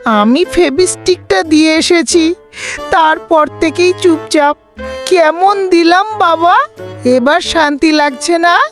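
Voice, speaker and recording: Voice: very high-pitched, slow pace, soft background music, full character voice. An actor voicing a cheeky young boy who teases his parents in a children's cartoon. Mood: smug.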